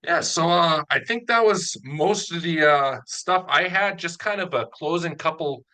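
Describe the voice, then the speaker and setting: A man's voice: a little high, measured pace, bright, forceful tone. A man interviewing a guest in a casual, informal chat, as host and creator of an audio drama.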